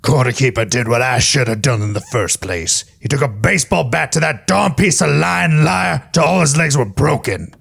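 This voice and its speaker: Gruff gravelly griffin gunslinger, raspy drawl, stern audio drama tough guy. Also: medium pitch, animated, moderate pace, few pauses, a bit bright, heavily acted. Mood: grim, unsparing. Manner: drawling folksy tough talk.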